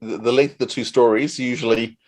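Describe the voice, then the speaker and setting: A man hosting a casual interview, asking questions in a halting way and rephrasing them as he goes. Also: medium pitch, fairly even intonation, a little mellow. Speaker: interviewer, host.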